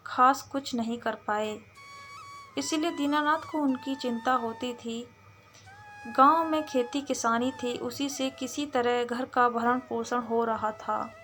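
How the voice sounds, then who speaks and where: Woman, high register, slow measured delivery, scripted storytelling, soft music behind. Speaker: storyteller, channel narrator. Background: background music.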